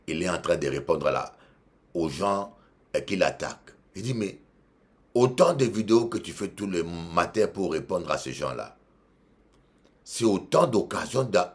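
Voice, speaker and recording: A Congolese-born bishop preaching in French with a Congolese accent, emphatic, repeating phrases and shifting between loud exhortation and quiet asides. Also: male voice, deep voice. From Democratic Republic of the Congo. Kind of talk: preaching sermon.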